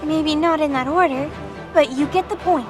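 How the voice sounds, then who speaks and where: An actress playing a bossy, forceful supernatural enforcer in an audio drama, commanding and insistent, with music behind her. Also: high-pitched, moderate pace, natural voice. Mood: stern, commanding.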